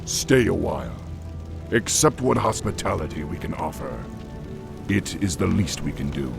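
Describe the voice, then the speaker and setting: A man voicing a noble orc warchief, calm and commanding, with a measured, authoritative delivery. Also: deep voice, gravelly, over music, heavily acted. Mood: welcoming.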